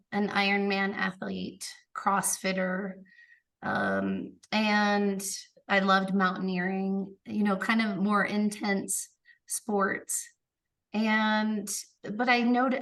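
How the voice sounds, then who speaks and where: A woman's voice: mid-range voice, a bit bright, distant mic. A woman who is a podcast guest, stuffed up from a cold, telling her story casually with fillers and quoted dialogue. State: congested from a cold.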